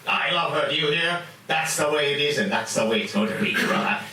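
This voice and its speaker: A man's voice: a little high, expressive, brisk, bright, forceful tone. A man acting a brazen, blustering lover in an old-time radio melodrama, declamatory, recorded far off in a noisy, echoey room. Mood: defiant. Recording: roomy, very noisy, far from mic.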